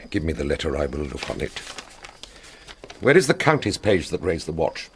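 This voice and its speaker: Deep-voiced, stern ruling prince. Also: male voice, big pitch swings, moderate pace, slight background noise, exaggerated voice. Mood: commanding. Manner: authoritative, formal, declamatory. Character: commanding, stern male prince passing judgement. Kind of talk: classical Shakespearean radio drama acting.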